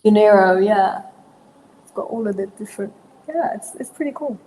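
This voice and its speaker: A woman's voice: medium pitch, normal speed, warm tone. Woman, 40s, from Zimbabwe, podcast guest, hesitant, rambling, long reverb, faint background noise. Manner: rambling, hesitant, many fillers and restarts. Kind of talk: casual interview answers.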